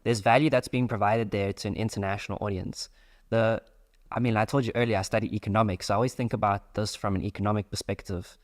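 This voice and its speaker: A man's voice: fairly low pitch, fairly even intonation, fast talker. A young man from South Africa hosting a casual podcast interview, explaining his ideas at length. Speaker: podcast host.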